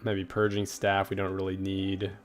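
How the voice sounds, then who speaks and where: A man's voice: low-pitched, moderate pace. A young man chatting casually on a game livestream, rambling and reading viewer messages aloud. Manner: relaxed, rambling, reads chat aloud. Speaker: gaming livestreamer. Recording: faint game music behind.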